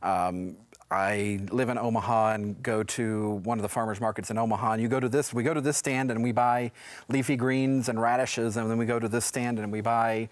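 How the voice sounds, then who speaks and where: A man's voice: mid-range voice, fairly even intonation, fairly quick, dry recording. An American man in his thirties talking conversationally as an educator guest on a studio panel. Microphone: lapel mic.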